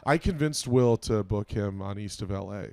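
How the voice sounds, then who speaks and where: A young man co-hosting a comedy podcast, rambling through stories full of ums and dry asides. His voice is clear and smooth. The recording is dry and close. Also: on the low side, normal speed, mellow, dry recording. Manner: rambling, hesitant, dry jokes. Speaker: podcast co-host. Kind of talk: casual comedy chat.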